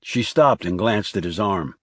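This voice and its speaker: Low-pitched man narrating a thriller. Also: expressive, measured pace, fairly bright tone, no room sound, clean studio sound. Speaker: audiobook narrator.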